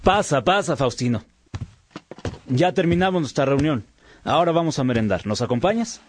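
A man's voice: on the high side, lively intonation, moderate pace, slight background noise, close to an everyday voice. A man playing a concerned, frank bishop and loyal friend in a religious radio drama. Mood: welcoming.

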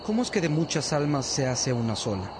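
An actor playing an earnest, attentive disciple of a priest, speaking in a measured way in a religious radio drama. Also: male voice, mid-range voice, sing-song, background noise, close to an everyday voice. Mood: earnest reflective.

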